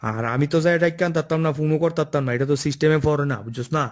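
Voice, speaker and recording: A man's voice: fairly high pitch, very fast, lightly acted. A man voicing a firm, fair-minded forest officer in a cartoon, authoritative and matter-of-fact, with an eastern Bengali dialect. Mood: worried, firm. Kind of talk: cartoon dialogue.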